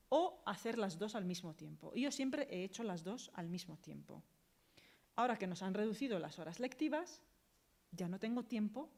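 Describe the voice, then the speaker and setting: A woman's voice: mid-range voice, a little mellow. Woman lecturer with a Spanish accent from Spain. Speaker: university Arabic teacher and lecturer. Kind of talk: explanatory answer at a public lecture.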